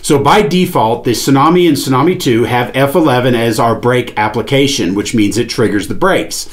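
Middle-pitched man giving step-by-step instruction. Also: moderate pace. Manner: explanatory, step by step. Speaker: product demonstrator. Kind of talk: instructional product demonstration. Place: small indoor studio with padded panel wall, seated at a table.

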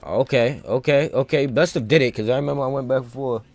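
A man's voice: medium pitch, fast talker. Slangy man rambling through a gaming stream. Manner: slangy, rambling, thinking out loud. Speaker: video game streamer. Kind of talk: casual live gameplay commentary.

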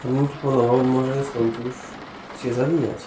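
A man's voice: medium pitch, level tone, normal speed, noisy background. A man playing a tabletop role-playing game, chatting casually as he proposes what to do next. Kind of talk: casual chat. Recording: roomy echo, music behind. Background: background music.

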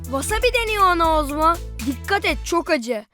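A woman voicing a curious, eager little child in a children's cartoon, with an expressive, inquisitive delivery. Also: very high voice, moderate pace, exaggerated voice. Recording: music under the voice.

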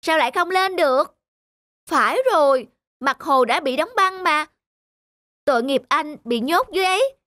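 A woman's voice: high-pitched, expressive, moderate pace, long pauses, no echo, studio quality. A woman voicing an eager, cheerful little boy bear cub in a cartoon. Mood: eager helpful. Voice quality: bright childlike. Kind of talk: cartoon voice acting. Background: music.

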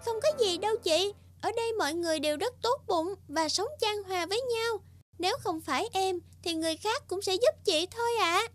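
Woman voicing a very high-pitched little flower girl. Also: a little fast, soft background music. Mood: earnest. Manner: polite, sweet, exclamatory. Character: small, kind, earnest young morning glory flower girl. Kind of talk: children's cartoon fairy tale dubbing.

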